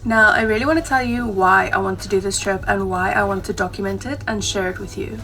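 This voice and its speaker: A woman's voice: mid-range voice, steady tone, fairly quick, bright, forceful tone. A woman in her twenties with a South African accent, talking candidly and chattily to camera in a personal travel vlog. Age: 20s. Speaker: travel vlogger.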